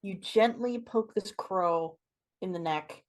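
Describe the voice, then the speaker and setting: Woman game master, mid-pitched voice. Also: slow pace. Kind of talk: narrating an online tabletop game. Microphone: online voice call from own mic.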